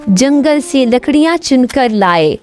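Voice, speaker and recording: A woman's voice: sits high, expressive. A woman narrating a scripted fairy tale as a storyteller.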